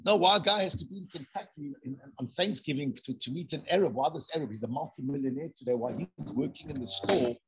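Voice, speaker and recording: A man teaching an informal Torah discussion, excitable, repeating himself and mixing in Hebrew and Yiddish terms, on a dull lo-fi recording. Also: fairly high pitch, fast, few pauses, husky.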